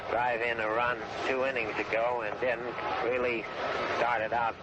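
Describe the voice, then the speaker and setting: An American man giving baseball commentary in 1930s radio style, recapping play in measured, explanatory sentences. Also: mid-range voice, flat delivery. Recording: muffled, very noisy vintage radio broadcast.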